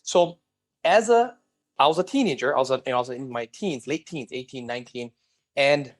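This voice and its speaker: A middle-aged man from Singapore, a guest telling his life story in an energetic interview and addressing the viewers directly. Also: mid-range voice, a little fast.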